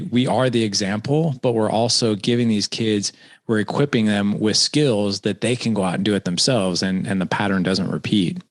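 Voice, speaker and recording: A man in his forties hosting a podcast interview, chatting casually and energetically, often repeating words. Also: fairly low pitch. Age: 40s. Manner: energetic, repeats words, asks leading questions. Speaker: podcast host.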